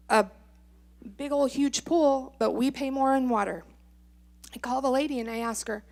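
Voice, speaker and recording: A middle-aged American woman gives public comment in a fairly high voice edged with vocal fry. She tells her story informally, with exasperated sarcasm, and acts out both sides of conversations. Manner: exasperated, sarcastic, acting out exchanges. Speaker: resident giving public comment. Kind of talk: informal personal testimony. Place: indoor public meeting.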